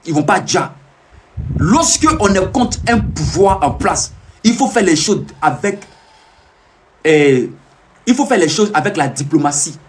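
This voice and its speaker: Man, live-stream monologue, high-pitched, swooping animated delivery, emphatic and repetitive, preaching warnings at viewers. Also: unhurried, long pauses, slight background noise. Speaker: self-proclaimed peace ambassador streaming live.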